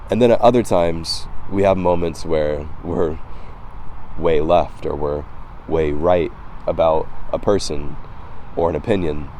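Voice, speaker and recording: Deep, slightly hoarse man musing outdoors. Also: warm tone, slight background noise, poor audio. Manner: halting, reflective, repeating words. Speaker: vlogger. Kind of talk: casual philosophical monologue to camera. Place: outdoors by the water.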